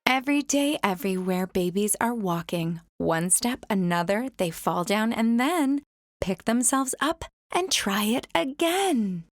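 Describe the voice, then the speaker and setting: A young adult woman reading a rhyming children's picture book aloud in a gentle, sing-song storytime manner. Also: mid-range voice, expressive, unhurried, no room sound, clean studio sound.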